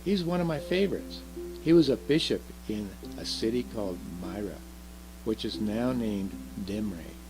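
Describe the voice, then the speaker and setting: A man voicing a curious, earnest little girl in a children's storybook, soft and chatty, asking and explaining eagerly. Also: on the low side, expressive, moderate pace, music in the background, low-quality recording. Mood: proud, chatty. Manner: eager questioning, explaining carefully. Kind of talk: children's storybook read aloud.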